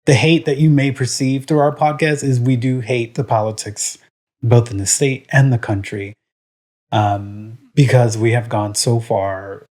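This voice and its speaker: A man's voice: medium pitch, fairly even intonation, unhurried, darkish tone. A man from Puerto Rico co-hosting a podcast in casual chat, rambling with lots of "um" and "like" and speaking his mind frankly. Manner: rambling, full of "um" and "like"; frank and opinionated. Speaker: podcast co-host.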